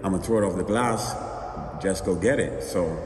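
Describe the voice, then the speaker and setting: A young man tells his story in a low voice, relaxed and rambling. He sounds distant from the mic, and his voice carries a long, roomy reverb. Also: moderate pace, noisy background. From Bahamas. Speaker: guest. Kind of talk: casual interview storytelling. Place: outdoors. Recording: echoey, reverberant, from afar.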